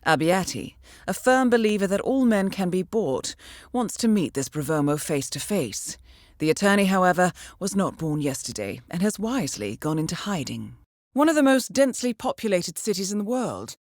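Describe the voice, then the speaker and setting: A woman's voice: mid-range voice, darkish tone, no room sound, clean studio sound, lightly acted. An actress playing a cool-headed female intelligence handler in a video game, giving scripted mission briefings in a composed, formal, dryly understated way.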